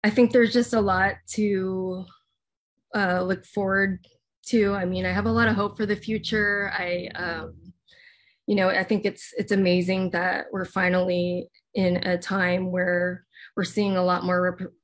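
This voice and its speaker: Young woman, halting and filler-heavy. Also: mid-range voice, flat delivery. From United States. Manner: halting, lots of fillers and restarts. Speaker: guest. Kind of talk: personal interview answers.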